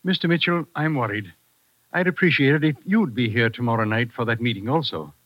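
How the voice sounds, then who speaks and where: A man's voice: mid-range voice, brisk, dry recording, close to an everyday voice. Uneasy businessman, played by a man, hesitant delivery, dry and dull vintage radio sound. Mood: uneasy, businesslike. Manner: worried, hesitant. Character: anxious, unheroic middle-aged businessman. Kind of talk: old-time radio drama acting.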